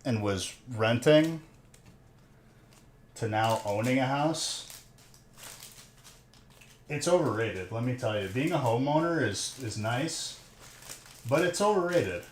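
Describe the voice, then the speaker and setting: A man's voice: mid-range voice, lively intonation, slow, long pauses, a little hiss or hum. A man in his thirties hosting a live stream, chatting casually and jokingly teasing his viewers while he opens card packs. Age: 30s. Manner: teasing, joking banter with chat.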